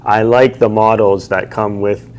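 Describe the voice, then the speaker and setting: A man's voice: on the low side, a little slow, slight background noise. A man in his thirties co-hosting a casual livestream in a large car showroom, chatty and laughing often, on a lapel mic. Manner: chatty, laughs often, animated hand gestures. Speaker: car dealership co-host.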